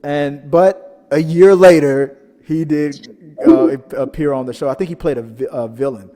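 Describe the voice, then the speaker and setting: Guy podcast host, kinda high voice, rambly animated storyteller, lots of reverb. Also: measured pace. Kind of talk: casual pop-culture panel chat. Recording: reverberant, echoing room sound.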